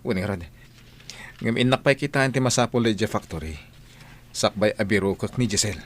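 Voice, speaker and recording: A man's voice: on the low side, fairly quick, close to an everyday voice. A man playing a determined, earnest young employer in a radio drama, with an Ilocano accent. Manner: resolute, earnest. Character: determined young gentleman employer defying his mother.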